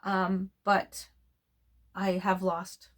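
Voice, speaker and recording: A woman in her fifties with a hoarse, froggy, strained voice, chatting casually in a rambling way and laughing often, speaking off camera at home. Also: mid-range voice, monotone, drawn-out words. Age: 50s. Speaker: reborn doll vlogger.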